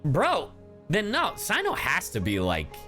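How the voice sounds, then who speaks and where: A man's voice: on the high side, wildly swooping pitch, a little slow, bright, forceful tone. A young man giving casual live reactions as a game streamer, slangy and joking, with rambling asides. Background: game music.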